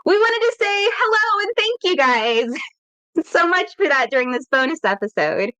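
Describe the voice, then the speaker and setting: A woman's voice: extremely high pitch, sing-song, normal speed, pushed and bright, low-quality recording. A woman hosting a casual podcast, chatty and upbeat, laughing easily. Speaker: podcast host and editor. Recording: dry room, some static.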